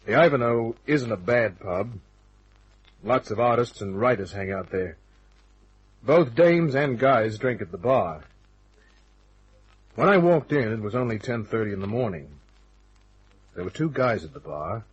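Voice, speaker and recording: An actor playing a wry, cocky private detective, narrating and talking in a dry, laconic old-time radio style. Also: male voice, medium pitch, animated, a little slow, long pauses, husky, muffled recording. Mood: wry narration.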